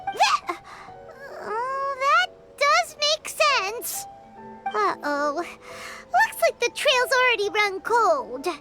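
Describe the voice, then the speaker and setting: A woman voicing a small, excitable, childlike companion creature in a video game, with a squeaky and very expressive voice. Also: drawn-out words, exaggerated voice. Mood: puzzled. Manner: expressive, stammers when startled. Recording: loud music behind the voice.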